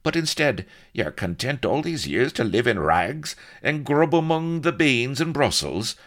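A man's voice: mid-range voice, sing-song, a little slow, voice pushed up. A man voicing a hard, suspicious roguish colonel in a blunt, sardonic, interrogating manner. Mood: needling, sardonic.

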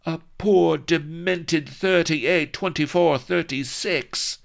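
A man voicing a haughty, jealous high-bred English lady who scolds indignantly. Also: slow, vocal fry.

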